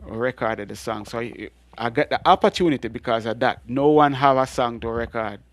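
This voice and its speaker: A middle-aged Jamaican man with a deep voice, telling animated stories as a guest in an interview. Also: a little fast, no echo. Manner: animated storytelling with frequent laughs and restarts. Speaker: guest reggae artist. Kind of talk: storytelling interview.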